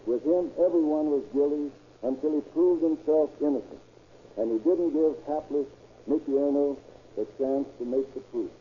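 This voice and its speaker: A man's voice: a little high, moderate pace. A man giving scripted crime commentary in the style of 1930s radio narration. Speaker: commentator closing a true crime radio drama. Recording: muffled, noisy old radio recording.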